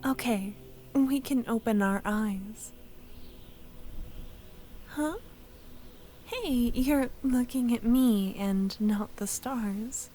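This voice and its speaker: Shy, smitten childhood friend played by a woman: young, high, breathy, slow-paced, excitable but tender, intimate ASMR roleplay delivery. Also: faint music behind. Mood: soothing, hushed, then bashful, tender. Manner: soft, breathy, often dropping to a whisper. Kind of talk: soft-spoken ASMR audio roleplay.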